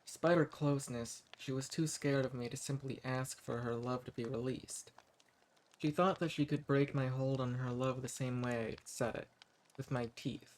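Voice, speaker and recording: A man's voice: mid-range voice, fairly even intonation, moderate pace, a little hiss or hum, natural voice, poor audio. A man playing an eccentric, sweet-talking old vampire. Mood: wistful storytelling. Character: centuries-old hermit male vampire, eccentric, sweet-talking, quietly menacing. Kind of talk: scripted roleplay audio drama, addressing the listener.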